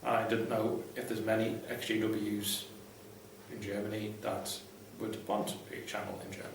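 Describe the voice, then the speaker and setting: A man's voice: fairly low pitch, flat delivery, normal speed. A man giving casual, unscripted advice to viewers in a blunt, irreverent, sweary way. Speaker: vlogger, ex-Jehovah's Witness advice host. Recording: very echoey room, distant mic, faint noise.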